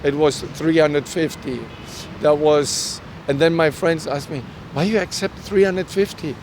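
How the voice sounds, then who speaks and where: Middle-aged man, German accent, native German speaker, fairly high voice, earnest halting storytelling, interview guest, outdoor city recording with some traffic noise. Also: fairly even intonation, darkish tone. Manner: halting, earnest delivery with self-quoted dialogue. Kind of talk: personal storytelling in a conversational interview.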